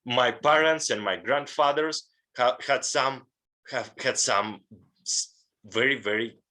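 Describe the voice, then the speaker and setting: A man in his thirties from Russia, a podcast guest speaking with a Russian accent, earnest and halting as he searches for words. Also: medium pitch, bright, forceful tone. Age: 30s.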